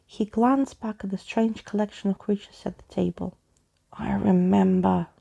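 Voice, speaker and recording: A woman narrates a story in a soft-spoken ASMR style. Her tone is relaxed and mellow. A noticeable creak runs through her voice. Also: mid-range voice, moderate pace, dull audio.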